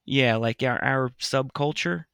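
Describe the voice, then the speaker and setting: A man's voice: medium pitch, unhurried, vocal fry, pushed and bright, smooth, no echo, studio quality. A middle-aged man, a podcast co-host, chatting casually in a reflective, earnest way. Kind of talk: casual chat.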